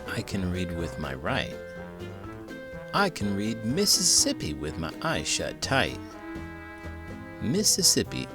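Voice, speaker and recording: A man hosting a children's storybook read-aloud, theatrical and full of big emotion, stretching words for effect. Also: lowish voice. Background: music.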